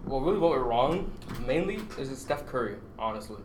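A man's voice: mid-range voice, lively intonation, brisk. A man as a podcast guest, debating sports in an insistent, argumentative way in an echoey, noisy recording. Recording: echoey room with loud noise.

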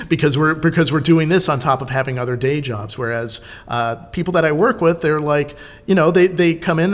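A man in casual conversation as a podcast guest host, rambling and slangy. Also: mid-range voice. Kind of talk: casual chat.

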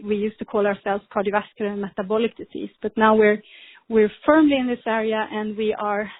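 A woman, speaking as a guest in a podcast interview, measured with frequent ums and restarts. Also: medium pitch, slightly rough. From Sweden. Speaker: pharma executive guest. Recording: tinny phone-like line.

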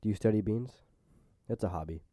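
A man's voice: lowish voice, fairly quick, mellow, no echo, studio quality. A man co-hosting a podcast in casual conversation. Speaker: podcast co-host. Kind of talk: casual chat.

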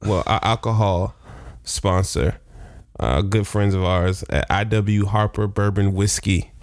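Low-voiced podcast guy, quick teasing banter, laughs a lot, super dry sound. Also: steady tone, no room sound. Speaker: podcast co-host.

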